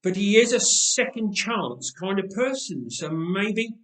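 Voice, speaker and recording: A man's voice: high-pitched, very animated, slow pace, a bit bright, far from the mic, voice pushed up, exaggerated voice. A man playing a weary uncle in a story, defensive and given to sighing and muttering. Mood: evasive. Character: weary, evasive uncle, reluctant disciple in debt. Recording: roomy, echoing space.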